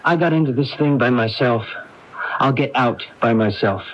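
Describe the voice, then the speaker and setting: A man's voice: medium pitch, normal speed, lightly acted. A man playing an evasive, guilt-ridden husband, sardonic and testy, in a radio drama. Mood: agitated, defensive. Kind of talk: 1980s radio drama acting. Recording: dull AM radio broadcast recording.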